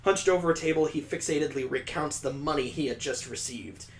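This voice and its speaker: A man's voice: a little high, brisk. A man on a stream reading a visual novel aloud, breaking off for crude, joking asides and mock sound effects.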